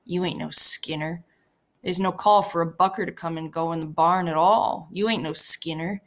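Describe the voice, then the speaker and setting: A woman reading the part of a bitter, guarded older male stable hand, with blunt, sharp rural speech. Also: on the low side, fairly even intonation, moderate pace, lightly acted. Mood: scolding.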